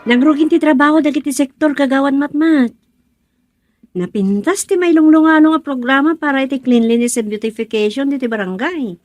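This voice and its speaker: A woman's voice: high-pitched, fairly quick, dry recording, close to an everyday voice. A woman playing a busy, friendly village organizer in an Ilocano radio drama-comedy. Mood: pleased.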